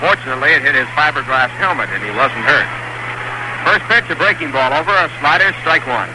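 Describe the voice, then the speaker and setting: A male announcer giving steady, measured play-by-play of a baseball game on a 1960s radio broadcast, rising when the action picks up. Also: mid-range voice, noisy background. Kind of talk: live baseball radio commentary. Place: ballpark broadcast booth.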